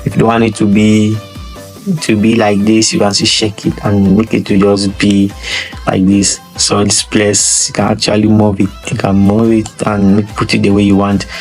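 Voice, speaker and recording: Nigerian man, flat low voice. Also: moderate pace, soft background music. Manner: rambling, repeats words, chuckles. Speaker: trading tutor. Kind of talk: casual screen-share tutorial.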